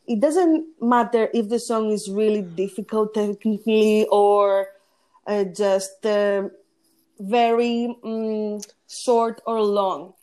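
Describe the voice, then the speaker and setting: A woman with a deep, gritty voice, a singing competition judge talking in a casual interview and pausing often on fillers. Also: fairly even intonation, mellow. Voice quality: deep voice with grit. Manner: hesitant, pauses with fillers.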